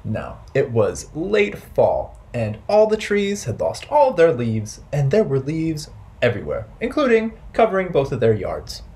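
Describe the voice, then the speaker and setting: Guy in his 30s doing kids storytime, slow simple playful telling, voice goes up and down a lot. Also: a little high, wildly swooping pitch, darkish tone. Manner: playful, simple, deliberate phrasing for small children. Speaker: children's library storytime host. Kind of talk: children's storytelling and rhymes. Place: bright indoor room with wooden floor.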